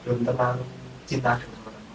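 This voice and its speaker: A young man in a casual interview style. His mid-pitched voice sounds distant from the microphone in a very echoey room, with clear noise behind it. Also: completely flat delivery, fast talker. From Indonesia. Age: 20s. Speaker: podcast guest.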